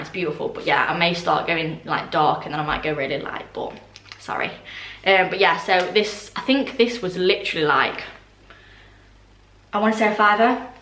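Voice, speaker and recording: A young woman with a voice going hoarse, chatting casually through a home haul with lots of ums and asides. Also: fairly low pitch, fairly bright tone, echoey room, far from the mic. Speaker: vlogger. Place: orangery at home, indoors.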